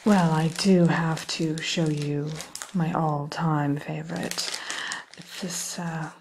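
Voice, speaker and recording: A woman playing a sly, lonely evil queen shopkeeper in a whispered ASMR roleplay, speaking in a coy, genteel, teasing way with quiet menace. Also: low voice, fairly even intonation, slow, a little hiss or hum. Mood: sly, proud. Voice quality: breathy, mostly whispered. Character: sly, lonely evil queen selling cursed caramel apples. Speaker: roleplay shopkeeper addressing a customer.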